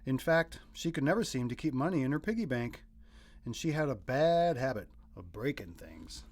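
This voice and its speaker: Male storybook narrator, expressive and animated, mid-pitched, for young children's picture book. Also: normal speed.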